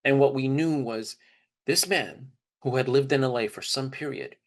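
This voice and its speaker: A man's voice: mid-range voice, normal speed. A man delivering an informal, reflective first-person monologue in a conversational storytelling style. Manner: informal, reflective, acts out quoted dialogue. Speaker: performer of a dramatized first-person narrative.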